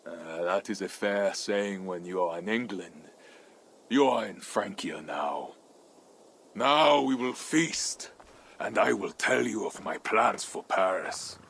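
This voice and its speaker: An actor playing a proud, brooding Viking warlord in a video game, with solemn, formal speech. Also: male voice, fairly low pitch, slow pace, creaky, a bit bright, slightly rough, exaggerated voice. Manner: solemn, formal, archaic phrasing. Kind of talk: dramatic video game acting.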